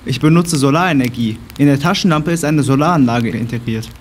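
A man's voice: mid-range voice, brisk, a little hiss or hum, lightly acted. A man playing a friendly travelling trader and inventor in an amateur audio drama.

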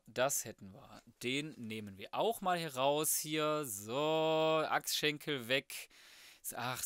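A man's voice: mid-range voice, a bit bright, dry recording, clean studio sound. A man giving casual let's play commentary as a gaming host, talking to himself in flippant, colloquial slang. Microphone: close microphone, voice-over.

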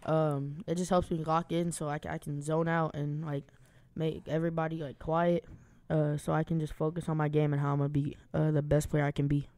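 Hesitant teen boy, smooth dry voice. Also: a little high, level tone, brisk, a little mellow, dry recording. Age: teens. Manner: hesitant, starts answers with "um". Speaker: high school football player, guest. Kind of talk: casual pregame interview.

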